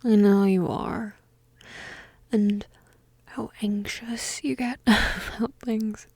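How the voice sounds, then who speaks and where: Woman acting a breathy, whispery young goth roommate. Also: medium pitch, fairly even intonation, slow, dry recording. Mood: soft teasing. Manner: hesitant, gentle, teasing, breathy murmuring. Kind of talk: intimate audio roleplay, soft and half-whispered.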